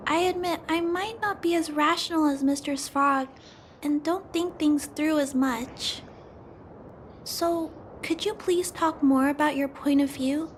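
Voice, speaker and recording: A woman's voice: sits high, a little slow, slight background noise. A woman voicing an earnest, tender-hearted young girl in a video game, speaking politely and sincerely. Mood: polite, thoughtful. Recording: game dialogue over clear background music.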